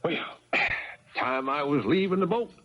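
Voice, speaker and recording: An actor playing a jittery, folksy American thief on the run in a 1970s radio drama. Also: male voice, fairly high pitch, unhurried. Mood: folksy, grateful. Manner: folksy rural American speech, excitable. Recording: muffled vintage radio broadcast.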